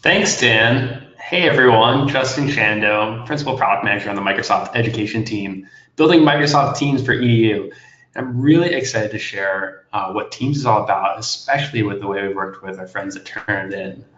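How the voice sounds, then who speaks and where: A man's voice: lowish voice, normal speed, fairly bright tone. A man speaking as a product manager in a webinar, upbeat and enthusiastic. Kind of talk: webinar presentation. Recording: echoey, muffled remote audio.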